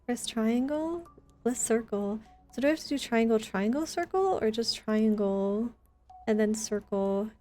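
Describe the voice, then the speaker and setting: A woman with a soft, mellow voice talks casually on a game livestream. Her manner is playful and teasing, and music plays faintly behind her. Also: a little high, lively intonation, measured pace. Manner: playful teasing banter, gasps at discoveries. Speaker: game streamer. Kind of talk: casual livestream gaming chat.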